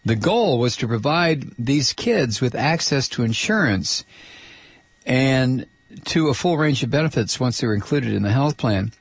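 Gravelly, ranting talk radio man. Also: medium pitch, animated, unhurried, no room sound. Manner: angry, ranting, sarcastic. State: losing his voice. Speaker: radio talk show host. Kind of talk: political talk radio monologue.

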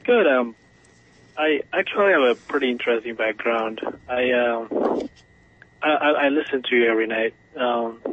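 A man from Ethiopia on a call-in show. He speaks at a middle pitch in an earnest, halting way, stumbling and repeating himself as he builds his point. He comes through a narrow, tinny phone line. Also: noisy background. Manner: earnest, hesitant, repeats words. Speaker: caller.

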